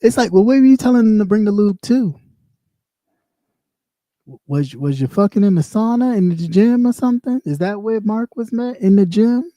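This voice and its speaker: A man's voice: high voice, animated, normal speed, dark tone, no room sound. Sassy man with a light voice. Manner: blunt and sassy, talks in loops and repeats himself. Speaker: live stream host; former radio host. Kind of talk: casual rant on a livestream.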